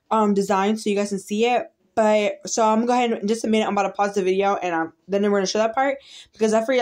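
A woman's voice: medium pitch, a little mellow. A woman YouTuber narrating a casual game walkthrough in a chatty, rambling way, often restarting her sentences while filming a tablet screen with her phone.

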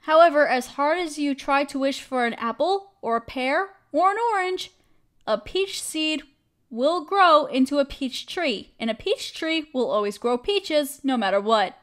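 A woman's voice: high-pitched, animated, measured pace, a bit bright. A woman playing a caring, protective best friend in a comforting audio roleplay, speaking earnestly and reassuringly, straight to the listener.

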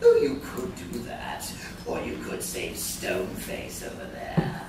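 An actor playing a theatrical, mock-courteous goblin villain who taunts with glee in a live radio play. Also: male voice, low voice, huge pitch swings, slow, exaggerated voice. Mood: sly, taunting. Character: cackling theatrical male goblin supervillain, gleefully taunting. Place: large hotel conference room with seated audience. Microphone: distant audience camera. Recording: echoey noisy room, recorded from far off.

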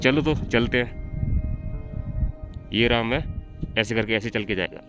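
A man's voice: mid-range voice, unhurried, music in the background. A young man vlogging casually outdoors on a mountain, chatting straight to his viewers. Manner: chatty, addresses viewers directly. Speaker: travel vlogger. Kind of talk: casual vlog narration.

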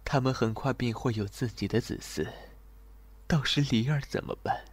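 Restrained young general, husky low voice man acting. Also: moderate pace, no echo, lightly acted. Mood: troubled, brooding. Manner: restrained, courteous, formal. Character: weary, reserved young male general in ancient China. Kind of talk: Chinese period audio drama acting.

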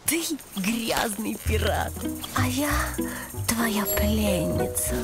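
A woman's voice: medium pitch, lively intonation, very slow, loud music behind the voice. An actress voicing a bossy, teasing female villain sidekick in a theatrical, sardonic cartoon style. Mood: bored, teasing. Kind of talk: animated film voice acting.

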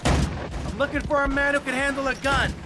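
An actor playing a bossy, sarcastic crime boss in a video game, curt and commanding. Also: male voice, very high voice, level tone, normal speed, heavy background noise. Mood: sardonic. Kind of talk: video game cutscene acting.

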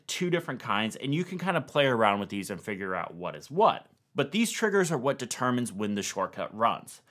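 A man's voice: on the low side, a bit bright. A man, explaining things step by step in a casual tech tutorial style. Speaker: tech video host. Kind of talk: explanatory tutorial narration to camera.

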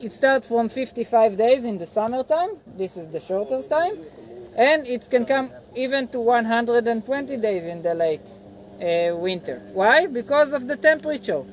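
A man in his forties, an agronomist, explaining farm work patiently in halting, non-native English beside a field. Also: extremely high pitch, animated, rough recording. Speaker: agronomist guiding a farm tour. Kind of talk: outdoor field lecture. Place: outdoors by a cornfield.

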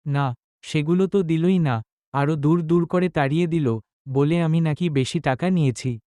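A man's voice: fairly high pitch, moderate pace, warm tone, no echo, clean studio sound, natural voice. A man playing a distraught, poor middle-aged village father and labourer in a cartoon story, lamenting his lot. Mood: despairing.

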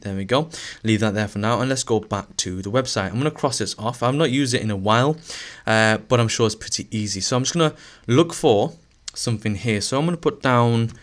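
A male teacher narrating a screen-recorded lesson, a little hoarse as he is losing his voice, talking through each step with fillers and restarts. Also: lowish voice. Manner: relaxed, thinking aloud with fillers and restarts.

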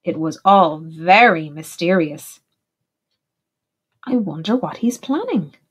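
A woman teacher reading a picture book aloud in an expressive, deliberate way for young children. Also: lowish voice, breathy edge, dull audio. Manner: animated, deliberate, puts questions to young listeners. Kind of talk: expressive storybook narration for children.

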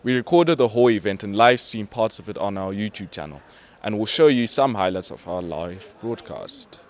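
A man's voice: lowish voice, expressive, normal speed. A man presenting a school newsreel in scripted news narration.

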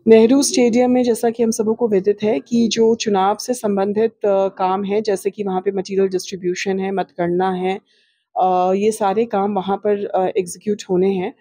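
A woman official giving a measured, formal press statement into reporters' microphones. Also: mid-range voice, level tone, mellow, husky, no room sound, clean studio sound. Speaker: municipal official. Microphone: press mics in front.

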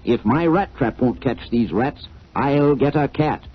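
A man's voice: mid-range voice, measured pace, husky, voice pushed up. A man playing a flustered, exasperated parson, fussy and exclaiming, in a children's radio story. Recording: dull vintage 1940s radio recording.

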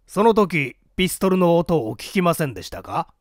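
A formal, probing police investigator voiced by a male narrator in a mystery audiobook. He questions inquisitively in a slightly high, animated voice with a dry, close sound. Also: moderate pace, no echo.

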